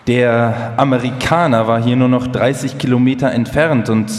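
A man playing a sober, reflective engineer who recalls his wartime work in a measured way in a documentary radio play. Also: mid-range voice, fairly even intonation, close to an everyday voice. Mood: sober reminiscing. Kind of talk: live documentary radio play, first-person recollection. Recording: echoey hall, live performance.

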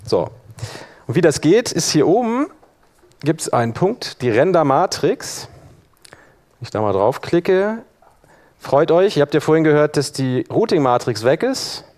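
A man teaching a live software workshop, explaining step by step in an informal spoken style. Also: on the high side, sing-song, a little slow, long pauses. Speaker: workshop instructor. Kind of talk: live software demonstration to an audience.

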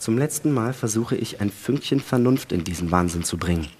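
A man's voice: fairly low pitch, lively intonation, a little mellow, slightly rough. Man acting a suspicious astronaut in an echoey hall. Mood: grim, calculating. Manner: argumentative, methodical, deliberate reasoning. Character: male astronaut, suspicious, coldly calculating, accusing his crewmate. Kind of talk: live dramatic reading of a sci-fi radio play. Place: large hall, stage reading. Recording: echoey hall, long reverb.

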